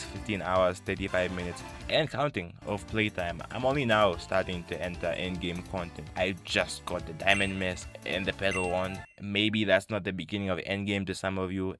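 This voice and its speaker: A young man with a low voice delivers scripted video commentary. He slips in casual asides and sounds wry and self-aware. Music plays clearly behind him. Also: a bit bright. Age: young adult. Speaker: YouTube video essayist.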